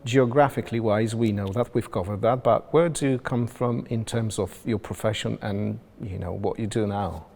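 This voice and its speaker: A man hosting a company interview series, upbeat and quick to react to his guest. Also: on the low side, gravelly, darkish tone. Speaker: interview host.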